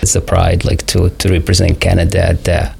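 A middle-aged man from Saudi Arabia, a proud father being interviewed on radio, speaking haltingly with many restarts and fillers. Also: low voice, completely flat delivery, gravelly, a little mellow, husky. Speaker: father of a young champion, guest.

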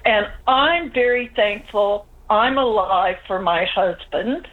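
A woman's voice: mid-range voice, slow pace. An older woman, calling in to a radio show and pouring out her frustration in long, pleading runs. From Newfoundland, Canada. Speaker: caller. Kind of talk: call-in radio complaint. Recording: tinny phone line.

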